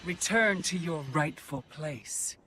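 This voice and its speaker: A woman playing an imperious, scornful Fury queen in a video game, with a husky voice and commanding manner. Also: deep voice, animated, slow, over loud music. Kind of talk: video game cutscene acting.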